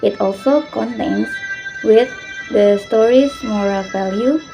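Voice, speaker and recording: Slow, creaky young woman presenting. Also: mid-range voice, over music, low-quality recording. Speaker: student presenter and storyteller. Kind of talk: scripted narration and explanatory school presentation.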